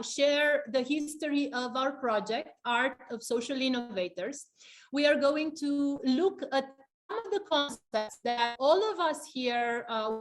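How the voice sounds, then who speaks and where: A woman from Romania hosting an open seminar, welcoming guests with frequent pauses and fillers. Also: sits high. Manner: hesitant, many fillers. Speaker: seminar host. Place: seminar room.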